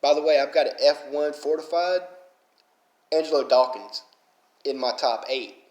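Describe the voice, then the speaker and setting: Southern man with a thick Alabama accent, casual vlog rant, fairly high-pitched, recorded in a roomy space. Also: normal speed, a little mellow. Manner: rambling, heavy "you know" fillers, fired up. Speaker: mobile game player.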